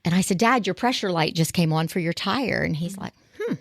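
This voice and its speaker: A woman co-hosting a church podcast who tells stories in a loud voice and acts out what people said. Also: lowish voice, a little fast, creaky, no room sound, studio quality. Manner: animated storyteller, acts out quotes. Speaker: podcast co-host. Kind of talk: casual storytelling chat.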